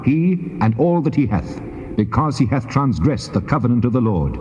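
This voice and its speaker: Role: God played by a man in scripture audio drama. Mellow, stern, commanding, in long reverb. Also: mid-range voice, big pitch swings, moderate pace, natural voice. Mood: stern, solemn. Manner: solemn, commanding. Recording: cavernous reverb, dull old cassette tape.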